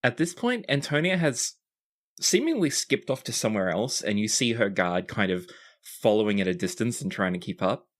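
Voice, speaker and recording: A man's voice: fairly low pitch, lively intonation, normal speed. A man working as game master, improvising narration for a tabletop role-playing game.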